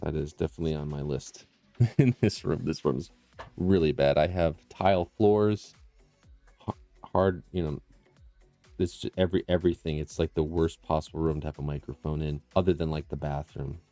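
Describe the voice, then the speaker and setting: A low-voiced man casually hosting a painting livestream, rambling and restarting his sentences as he works. He talks close to the mic, and faint music plays behind him. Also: normal speed, dark tone, dry recording. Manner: rambling, self-interrupting, explains as he works. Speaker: livestream host and digital painter. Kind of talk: casual live-stream chat while painting. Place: small room with tile floors. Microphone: close condenser mic with processing.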